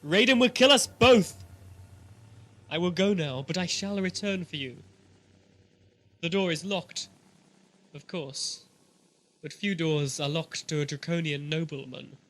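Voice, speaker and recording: A man's voice: a little high, expressive, unhurried, long pauses, a bit bright. A man playing a proud, commanding alien nobleman in an audio drama, speaking in a formal, declamatory way. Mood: urgent, grave.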